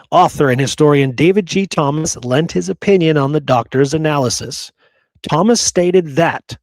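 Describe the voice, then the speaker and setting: A man's voice: on the high side, dry recording. A man hosting a history show, reading old sources aloud between chatty asides. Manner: chatty, with frequent "you know" and "okay" asides. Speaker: history podcast host.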